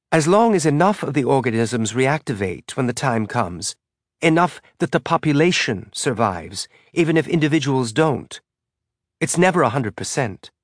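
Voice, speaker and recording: A man's voice: mid-range voice, no room sound, clean studio sound, pitched above the performer's own voice, lightly acted. A man doing a rambling eager woman scientist. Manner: eager, rambling, rising lilt.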